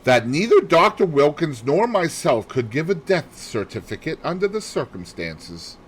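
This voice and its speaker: A man's voice: on the high side, sing-song, moderate pace, a little hiss or hum, voice pushed up. A man voicing a grave, formal male doctor in a mystery audiobook, speaking with authority. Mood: brisk, formal.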